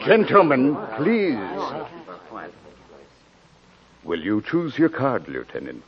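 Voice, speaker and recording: A man playing a formal, courteous gentleman card dealer in a 1940s radio drama, speaking emphatically. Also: mid-range voice, huge pitch swings, measured pace, a crowd in the background. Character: composed gentleman card dealer at a gambling table. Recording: muffled 1940s radio recording, audience noise.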